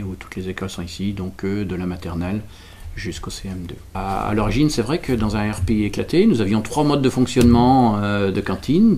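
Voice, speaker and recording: A man from France giving explanatory interview answers as a local representative, halting and correcting himself as he searches for words. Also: on the low side, mellow. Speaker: local representative explaining a village school project.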